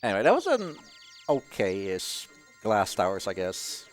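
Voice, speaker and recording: A man giving casual live commentary on his own speedrun, explaining in a halting way and often interrupting himself. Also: on the low side, wildly swooping pitch. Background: video game music.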